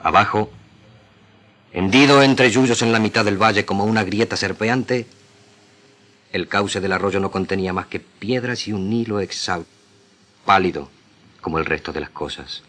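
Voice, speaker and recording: A man's voice: on the low side, moderate pace, long pauses, a bit bright. An Argentine man, a radio actor, giving a solemn, declamatory reading of literary prose.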